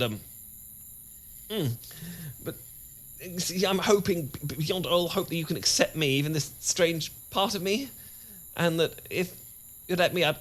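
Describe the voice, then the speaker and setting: A man performs a sweet-natured, bashful British boyfriend in a romantic audio drama, pitched a little high for a male voice. He stammers and restarts constantly, flustered and puppyishly eager to please as he speaks straight to his partner. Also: a bit bright. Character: adorkable, nervous, eager boyfriend confessing he is a were-Labrador. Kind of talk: romantic audio roleplay acting, addressed to listener.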